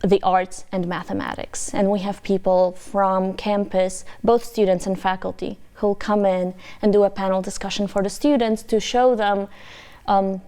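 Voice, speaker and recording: A woman from Poland whose first language is Polish, a university language faculty member answering interview questions in a measured, explanatory way. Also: mid-range voice, level tone, dark tone, clear, resonant tone.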